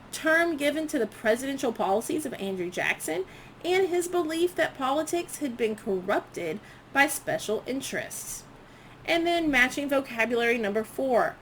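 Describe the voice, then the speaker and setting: A woman's voice: fairly high pitch, slight background noise, low-quality recording. A woman history teacher reading quiz questions aloud to students, enunciating each prompt item by item in an instructional way.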